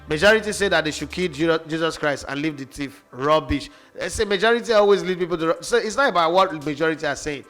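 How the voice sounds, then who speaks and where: A man's voice: fairly high pitch, quick pace, hardly any pauses, a bit bright. A man arguing football on a sports radio show, boastful and mocking, with faint music behind. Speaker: football pundit. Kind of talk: heated sports radio debate.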